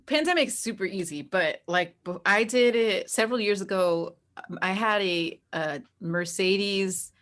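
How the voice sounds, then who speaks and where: An American woman chatting casually as an interview guest, animated and anecdotal. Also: medium pitch, unhurried. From New Jersey, United States. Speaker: interview guest, actor and game master. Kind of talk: casual chat.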